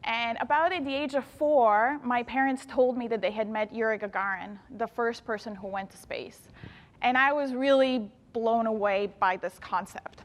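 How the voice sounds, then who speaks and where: A woman in her thirties gives an enthusiastic talk to students, speaking straight to her listeners. Her voice sits a little high. The sound rings with the long echo of an event hall. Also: steady tone, normal speed. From Bulgaria. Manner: enthusiastic, addresses audience directly. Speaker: engineer and planetary scientist speaking to students. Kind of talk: inspirational talk to youth.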